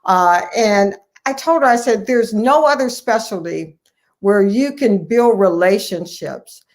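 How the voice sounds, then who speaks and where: A woman's voice: medium pitch, expressive, a little slow. A woman who works as a family physician talks as an interview guest. Her delivery is conversational and earnest. She stresses her points firmly, often saying a phrase again for emphasis. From United States, Ohio.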